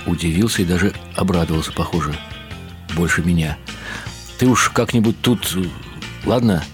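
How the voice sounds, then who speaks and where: An actor playing a world-weary writer who narrates a literary radio drama in a wry, ironic, musing way. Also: male voice, deep voice, normal speed, faint music behind, lightly acted. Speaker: narrator and lead character.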